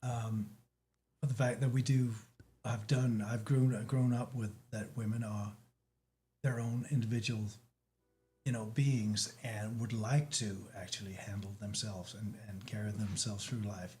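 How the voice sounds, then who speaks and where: A man from Denmark, not a native speaker, chatting as a guest and pausing often to search for words. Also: lowish voice, steady tone, warm tone. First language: Danish. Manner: halting, frequent restarts, searching for words. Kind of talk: casual chat.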